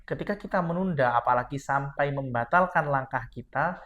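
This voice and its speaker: A man's voice: mid-range voice, fairly quick, a bit bright, lo-fi sound. A man in his thirties from Madura, a podcast guest giving earnest, encouraging advice to young listeners in a casual chat. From Madura, Indonesia.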